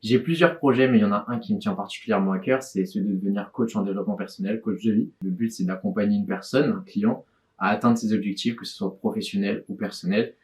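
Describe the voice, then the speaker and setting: A young man in his twenties speaks very quickly in an informal interview. His voice sits a little low. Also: a little mellow. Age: 20s. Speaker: motivational speaker and student.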